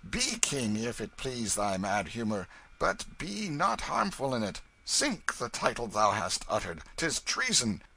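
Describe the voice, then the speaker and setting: A man's voice: mid-range voice, sing-song, measured pace, gravelly, few pauses, sharp tone, a little hiss or hum, full character voice, low-quality recording. A man voicing a burly, blustering but good-natured vagabond chief from a storybook, with commanding archaic speech. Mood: firm, rallying.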